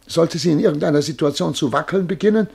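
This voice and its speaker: Radio play role: proud Russian major in distress, rough creaky voice, plaintive and indignant. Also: male voice, on the high side, fast, darkish tone. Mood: pleading, indignant. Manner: plaintive, self-important. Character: distressed, self-important noseless Russian major, pleading and indignant. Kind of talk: German radio drama acting.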